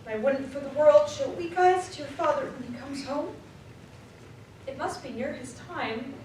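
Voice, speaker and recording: An actress playing a gentle, sorrowing mother in a live radio drama, restrained in manner. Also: high voice, normal speed, close to an everyday voice. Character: Mrs. Cratchit, gentle sorrowing mother hiding tears. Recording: echoey live stage, distant mic, faint noise.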